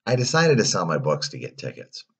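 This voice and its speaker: A man voicing a naive, excitable young puppet boy in a storybook, with an eager and hesitant childlike manner. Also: sits low, brisk, slightly rough, lo-fi sound, exaggerated voice. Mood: unsure, curious. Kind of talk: storybook character voice.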